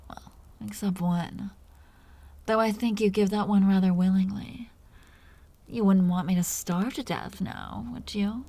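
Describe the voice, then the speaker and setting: A woman playing a teasing, seductive, dominant vampire captor in an intimate roleplay audio drama, talking in breathy half-whispers. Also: mid-range voice. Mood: sultry menace.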